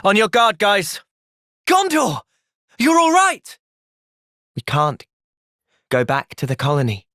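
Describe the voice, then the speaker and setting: A man playing a gentle, thoughtful young soldier hero in a video game, earnest and reflective in manner. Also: high-pitched, huge pitch swings, takes it slowly, long pauses, dry recording, clean studio sound, full character voice. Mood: alarmed. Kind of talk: video game cutscene voice acting.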